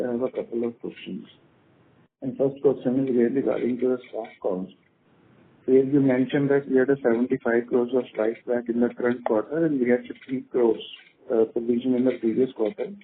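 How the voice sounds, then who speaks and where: A man's voice: medium pitch, steady tone, a little fast, slightly rough. A man calling in as an analyst to ask questions on an earnings call. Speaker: analyst caller. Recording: narrow tinny phone line.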